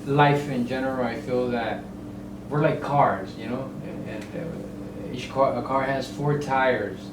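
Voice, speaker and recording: A middle-aged man from El Salvador, a guest on a casual interview, talking haltingly with many fillers and explaining through simple analogies. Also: medium pitch, fairly even intonation. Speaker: guest, real estate broker. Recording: roomy, distant mic, ambient noise.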